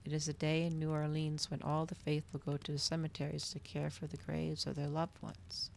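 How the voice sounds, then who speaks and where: A woman voicing a composed, inscrutable vampire child, speaking softly in an ASMR storybook reading. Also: deep voice, brisk, creaky, a little hiss or hum. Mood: calm, inscrutable. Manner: soft, calm.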